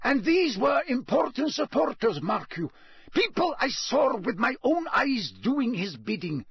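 A man's voice: very high-pitched, very animated, a bit bright, voice pushed up, full character voice. A man in a storybook reading, voicing an unctuous, panicky prisoner who pleads breathlessly and stammers. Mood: breathless, desperate. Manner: breathless, hurried, stammering, ingratiating.

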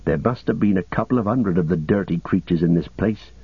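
A man's voice: deep voice, fairly quick, slightly rough, no echo, narrow, tinny audio. A man voicing a loyal, humble hobbit servant in an audiobook, plain-spoken and deferential, with homely rustic phrasing. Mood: practical.

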